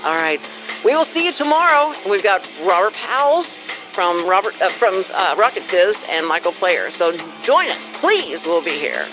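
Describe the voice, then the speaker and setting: A woman hosting a talk show in a casual, chatty way, telling stories in an animated manner. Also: medium pitch, normal speed, over music, rough recording.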